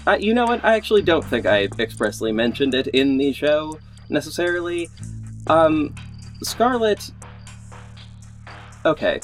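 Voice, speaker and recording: A man hosting a podcast, talking casually to listeners in a hesitant, rambling, gushing way about behind-the-scenes matters. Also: mid-range voice. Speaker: podcast host, audio drama creator and director. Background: music under the voice.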